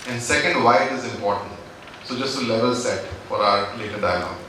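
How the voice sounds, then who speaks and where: Man from India, panel moderator, bright voice, polite, hesitant, echoey hall from far off. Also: mid-range voice, a little fast, slight background noise. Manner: polite, hesitant with many fillers. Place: large conference hall.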